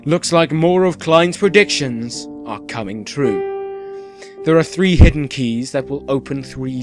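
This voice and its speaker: A man playing a cheerfully sinister radio host of a dystopian town, with theatrical, measured delivery and dramatic pauses in a scripted radio play. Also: mid-range voice, natural voice. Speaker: radio presenter. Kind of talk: scripted radio play in the manner of an eerie community radio show.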